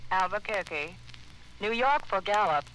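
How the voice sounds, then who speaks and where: An actress playing a brisk, formal long-distance telephone operator in 1940s radio drama style, clipped, polite and sing-song through a phone filter. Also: female voice, mid-range voice, expressive, fairly bright tone. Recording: noisy old radio recording, telephone sound.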